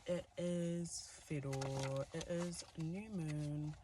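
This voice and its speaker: A man's voice: on the high side, steady tone, very slow, noisy background. A man with a New Zealand accent, giving a chatty, giggly tarot reading with plenty of fillers. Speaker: tarot reader. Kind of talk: casual tarot reading to camera.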